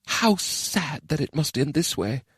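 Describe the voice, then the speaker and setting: Brief: male narrator playing an aged elf keeper woman, grave and measured, raised well above his own pitch. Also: big pitch swings, no room sound, clean studio sound. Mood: sorrowful. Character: solemn older female elven keeper.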